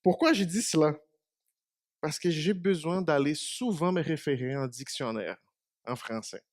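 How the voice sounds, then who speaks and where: A man's voice: fairly high pitch, darkish tone, no echo, studio quality. A man whose first language is Portuguese, giving a short church meditation in a small congregation and asking them questions. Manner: conversational, asks the congregation questions. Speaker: preacher.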